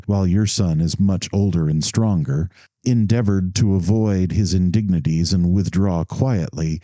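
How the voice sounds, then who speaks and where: A man voicing a pompous older male neighbor, reading out a formal complaint letter slowly and indignantly. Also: low voice, dark tone, no room sound, natural voice.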